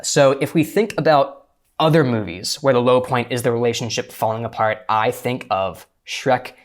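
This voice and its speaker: A man's voice: medium pitch, normal speed. Methodical man giving script notes. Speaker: script consultant on a discussion panel. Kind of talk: prepared critique in a panel discussion.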